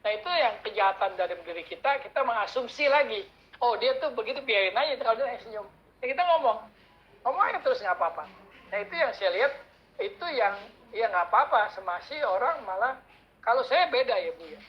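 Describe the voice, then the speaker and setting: A man giving a casual workshop talk as guest speaker, chatty and animated, telling anecdotes in a slangy way. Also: very high voice, fairly quick, muffled recording. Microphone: online call mic.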